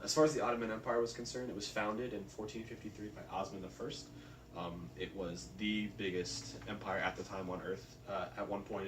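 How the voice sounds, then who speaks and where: A man giving an informal spoken presentation, explaining things in a casual, loosely worded way. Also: sits low. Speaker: presenter of a research report. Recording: roomy, distant mic, faint background noise.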